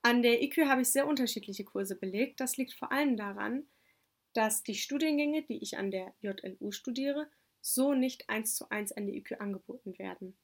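A young woman whose first language is Turkish, calmly giving orderly interview answers about her semesters abroad. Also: a little high, moderate pace. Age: young adult. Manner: calm, orderly, explanatory. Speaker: exchange student interviewee.

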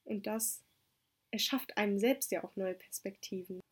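A young woman whose first language is Turkish, calmly giving orderly interview answers about her semesters abroad. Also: medium pitch, normal speed, breathy edge. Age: young adult. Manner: calm, orderly, explanatory. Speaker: exchange student interviewee.

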